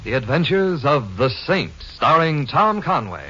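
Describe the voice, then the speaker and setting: A man's voice: on the high side, big pitch swings, measured pace. A man making a grand, promotional announcement in 1950s radio style. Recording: muffled old radio recording, loud music.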